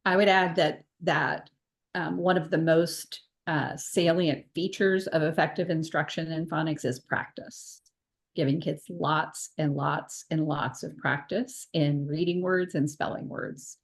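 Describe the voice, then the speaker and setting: A woman's voice: deep voice, fairly even intonation, a little slow, creaky. A woman academic on a panel over a video call, earnest and insistent, repeating words for emphasis. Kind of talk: panel discussion.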